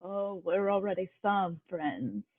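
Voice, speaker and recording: A woman's voice: fairly low pitch, animated, slow pace, breathy edge, heavily acted. Female actor as oily businessman. Suave, smug, thin phone-line sound. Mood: smug, charming. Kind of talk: film script table read. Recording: tinny phone-like connection.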